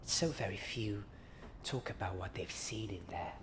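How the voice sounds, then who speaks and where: A woman playing an eager wizard-school student in a soft, hesitant, often whispered ASMR roleplay. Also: extremely low pitch, unhurried. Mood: wistful, hesitant. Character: eager Hogwarts student hunting for a secret ghost party. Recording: noisy ambience.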